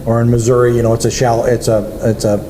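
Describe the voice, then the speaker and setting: Guy explaining stuff on a gun talk show, mellow tone, echoey room with a bit of hiss. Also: medium pitch, little pitch movement, quick pace. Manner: agreeable, explains and urges listeners. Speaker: gun range show co-host. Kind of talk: casual chat. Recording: echoey room with faint noise.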